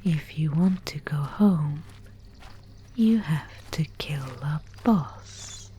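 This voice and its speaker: A woman playing a mysterious, solemn guide of a gloomy fantasy realm in an ASMR roleplay, whispering breathily and close to the microphone with deliberate pauses. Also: low voice, slight background noise, heavily acted. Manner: slow, hushed, solemn storytelling with deliberate pauses.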